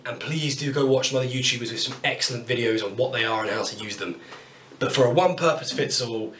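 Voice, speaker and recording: A British man in his thirties talks through his tools in a chatty, upbeat way, with wry asides about his cheap kit. His voice sits at a middling pitch. The workshop is roomy and echoes around him, and he stands some way from the camera mic. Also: fairly bright tone, far from the mic. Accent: British English. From United Kingdom. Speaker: woodworking YouTuber. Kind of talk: casual tool walkthrough to camera. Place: small home workshop, indoors. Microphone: camera mic at a distance.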